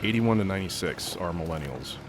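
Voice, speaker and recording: A man's voice: deep voice, lively intonation, brisk, a little hiss or hum. A man in his thirties from California, chatting loosely while playing a game, with plenty of fillers and casual swearing. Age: 30s. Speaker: co-host playing a video game. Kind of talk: casual chat while gaming.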